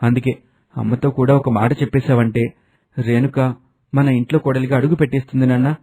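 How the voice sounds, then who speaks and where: A man's voice: mid-range voice, little pitch movement, brisk, heavy background noise, close to an everyday voice. A man voicing a devoted, respectful young adult son, speaking earnestly in a family story. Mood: earnest, pleading.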